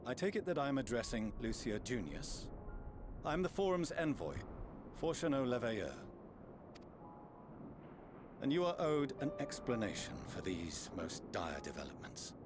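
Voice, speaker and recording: A man's voice: mid-range voice, big pitch swings, measured pace, pauses often, background noise. A man playing a proud, middle-aged envoy in a fantasy game, formal and stern. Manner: stern, haughty, clipped formal phrasing. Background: orchestral game music.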